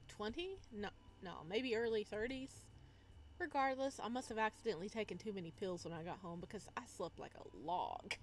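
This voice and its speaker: A woman playing through a visual novel, reading narration aloud and breaking in with casual, playful, teasing commentary. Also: mid-range voice. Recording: noticeable background noise.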